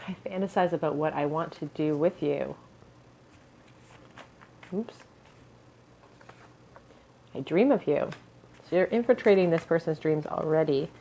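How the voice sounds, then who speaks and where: A woman giving a pick-a-card tarot reading in a musing tone, her voice a little hoarse and scratchy, often clearing her throat. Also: deep voice, measured pace, long pauses, dark tone. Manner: musing, hedges with "I feel". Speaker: tarot reader.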